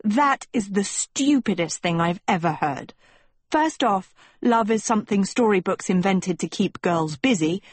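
A woman's voice: mid-range voice, expressive, measured pace, breathy edge, dry recording. A woman voicing a glum, blunt teenage girl in a storybook, with an exasperated and defensive manner. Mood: exasperated, scornful.

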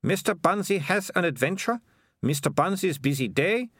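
A man's voice: high voice, animated, normal speed, fairly bright tone, dry recording, clean studio sound, voice pushed up. A man voicing a haughty, impatient, sarcastic young girl in an audiobook, with a scornful, blasé manner. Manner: scornful, blasé, drawling on mocked words. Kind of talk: audiobook character voice.

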